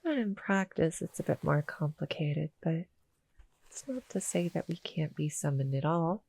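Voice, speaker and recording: A woman voicing a small, sweet female cuddle monster in an intimate ASMR roleplay, soft and breathy, often near a whisper, gentle and a little hesitant. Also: sits low, expressive, moderate pace, exaggerated voice, rough recording. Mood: hesitant, explaining. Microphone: close, dry studio-style mic.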